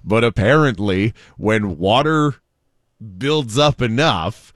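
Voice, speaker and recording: A man's voice: medium pitch, lively intonation, takes it slowly, a bit bright, no echo, clean studio sound. A man co-hosting a radio show, chatting casually through news stories and laughing often. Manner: chatty, laughs often, reads quotes aloud.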